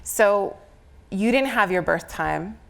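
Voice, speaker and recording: A woman's voice: mid-range voice, animated, slow, vocal fry. A woman with a slight head cold, speaking conversationally on stage as an astrologer explaining a chart reading. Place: on stage at a live venue.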